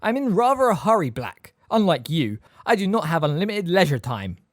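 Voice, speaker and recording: A narrator voicing a middle-aged male teacher in a storybook, smooth, sarcastic and waspish, with delicate stress on words. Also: medium pitch, sing-song, normal speed, darkish tone, no echo, studio quality, voice pushed up. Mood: silky, sneering.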